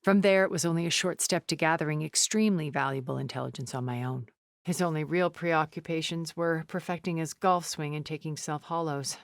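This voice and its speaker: An actress playing a poised, cultured, wealthy woman in a video game, speaking in a measured, formal, refined way. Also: sits low, no room sound, studio quality. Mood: cool, explanatory. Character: poised, cultured, wealthy woman, a thousand years old, sounding middle-aged. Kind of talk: video game character dialogue.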